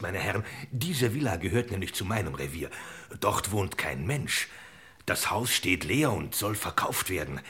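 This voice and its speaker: An officious village policeman in a vintage radio crime parody. The voice is deep and forceful, short of breath, with pedantic, drawn-out phrases. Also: full character voice. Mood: cautioning. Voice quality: short-winded. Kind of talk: old German radio crime parody acting.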